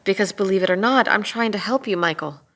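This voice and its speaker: A woman playing an earnest, empathetic police detective at a drama table read, measured and sometimes hesitant. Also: mid-range voice, lively intonation, a bit bright. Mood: earnest, pleading.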